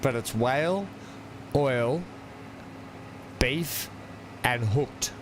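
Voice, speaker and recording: A man's voice: mid-range voice, extremely slow, background noise. A man with an Australian accent hosting a game stream, chatting casually and laughing often. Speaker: gaming channel host.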